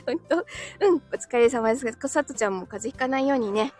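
A woman with a high-pitched voice chats informally to her livestream viewers. She giggles often as she replies to comments, sounding tired and slightly breathless from a recent illness. Soft music plays faintly behind her. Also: lively intonation, brisk. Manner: giggly, often breaking into small laughs. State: recovering from illness, tired and a little short of breath. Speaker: live streamer and voice actor. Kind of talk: casual live-stream chat, answering viewers' comments.

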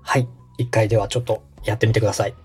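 A man's voice: fairly low pitch, flat delivery, rapid-fire, darkish tone, slightly rough. A man in his thirties from Osaka, a podcast host giving polite, encouraging step-by-step instruction in a conversational way. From Osaka, Japan. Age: 30s. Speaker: podcast host and radio director coaching vocal warm-ups.

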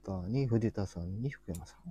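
A man hosting a casual live audio chat in a soft-spoken, hesitant way, with a breathy, husky voice. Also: on the low side, normal speed. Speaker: live show host.

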